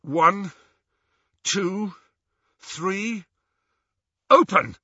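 A man's voice: high-pitched, lively intonation, extremely slow, sharp tone, breathy edge, dry recording, highs cut off, voice pushed up, full character voice. A man voicing an earnest, determined teenage boy hero for a storybook, urgent and insistent in his delivery. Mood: urgent shouting.

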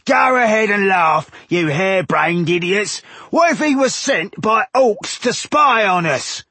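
A man voicing a belligerent, suspicious village man in an audiobook, loud, mocking and aggressive. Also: high voice, animated, a little slow, slightly rough, no room sound, voice pushed up, full character voice. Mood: angry, alarmed. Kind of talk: audiobook character dialogue.

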